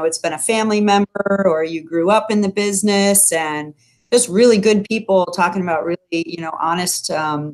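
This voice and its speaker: Woman co-host on a video call, a touch low, chatty and upbeat. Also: low-quality recording. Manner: laughs easily, chatty and upbeat. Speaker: podcast co-host. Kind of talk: casual interview chat.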